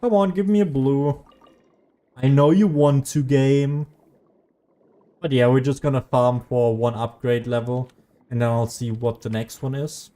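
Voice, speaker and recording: A man's voice: mid-range voice, fairly even intonation, measured pace, mellow. A man giving casual, rambling video game commentary, often losing his train of thought like a streamer talking as he plays.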